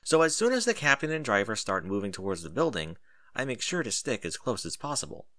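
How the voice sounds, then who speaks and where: A man narrating a first-person story in an animated audiobook style. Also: medium pitch, brisk, dry recording, studio quality.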